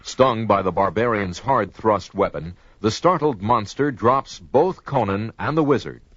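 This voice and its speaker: A man is the grave narrator of a fantasy radio play. His lowish voice is portentous and dramatic, on a dull recording with loud noise behind it. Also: lively intonation, unhurried. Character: grave storyteller narrating a sword-and-sorcery tale.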